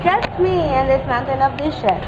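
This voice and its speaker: A high voice on a dull, noisy recording: a woman acting a young woman in a radio play. She is direct, teasing and caring. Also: a little slow. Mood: playful. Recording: dull lo-fi audio, noisy background.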